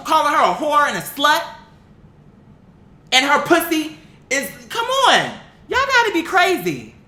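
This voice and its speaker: Blunt, indignant woman with a bright, forceful voice. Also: sits high. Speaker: online commentator reacting to viewer comments. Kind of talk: casual opinionated rant, reading comments aloud. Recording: echoey, roomy sound.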